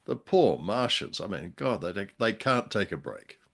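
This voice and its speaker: A man's voice: lowish voice, sing-song, unhurried, gravelly. A man co-hosting a podcast, chatting casually in a droll, wordy, hesitant way. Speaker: podcast co-host. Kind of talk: casual chat, radio drama discussion.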